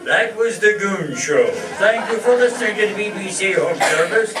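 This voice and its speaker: A man's voice: high-pitched, expressive, moderate pace, over music. A man acting as announcer, reading scripted radio show narration on stage. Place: live stage show in a room. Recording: roomy distant stage recording with noise.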